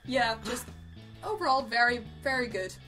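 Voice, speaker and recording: A woman's voice: a little high, expressive, moderate pace, pushed and bright, soft background music. A woman co-hosting a casual review show, speaking in a gushing, repetitive way that often trails off. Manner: gushing, repeats herself, trails off. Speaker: co-host of an amateur review show. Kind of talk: casual unscripted review chat.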